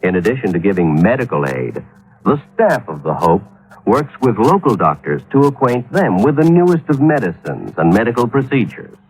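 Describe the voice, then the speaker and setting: A male announcer reading a scripted public service announcement in an earnest, measured way. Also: fairly low pitch, big pitch swings, darkish tone, slightly rough, poor audio.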